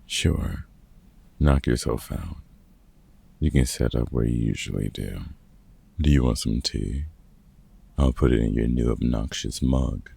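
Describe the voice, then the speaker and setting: An extremely low, heavily creaky voice for a gruff middle-aged vampire hunter acted by a man. He is a protective mentor with a husky, raspy tone and unhurried delivery, teasing and dryly fond in a comfort ASMR roleplay. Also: warm tone, full character voice. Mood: encouraging, fond. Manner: casual, teasing, sweary, halting.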